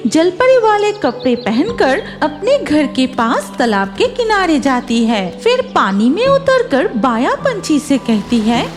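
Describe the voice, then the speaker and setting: A woman's voice: sits high, sing-song, unhurried, hardly any pauses. A woman narrating a scripted cartoon story for children, with music behind her voice.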